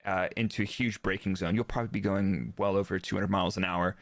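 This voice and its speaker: A man with an American accent and a fairly low voice talks through technical material in a casual way. The sound is dry and close, and he tends to illustrate points with quoted dialogue. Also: fairly even intonation, fast, no room sound. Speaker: guest, former Formula 1 performance engineer.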